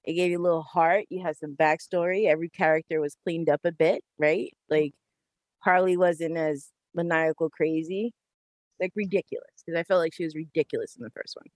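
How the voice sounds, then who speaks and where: A woman's voice: low voice, steady tone, a little fast, darkish tone, no echo. A woman co-hosting a podcast, chatting casually and animatedly about movies, swearing and laughing often. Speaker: podcast co-host.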